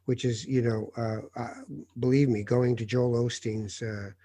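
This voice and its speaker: A middle-aged man, a journalist born in Morocco, telling lively anecdotes in casual conversation and mimicking the people he quotes. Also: mid-range voice, measured pace, dry recording.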